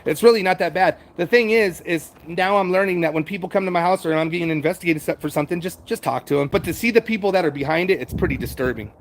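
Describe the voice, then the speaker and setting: A man's voice: on the high side, gravelly. A middle-aged American man hosting a casual livestream, talking in a rambling, repetitive, conversational way with some swearing. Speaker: livestream host answering viewer chat. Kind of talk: casual unscripted chat, reading out and answering comments.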